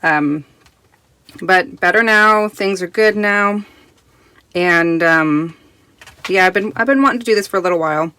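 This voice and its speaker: A woman reviewing a tarot deck in casual, rambling chat full of restarts, recorded in a quiet closet at home, her voice still recovering from being lost. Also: fairly low pitch, unhurried, pauses often, clear, resonant tone. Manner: chatty, rambling with many restarts and fillers.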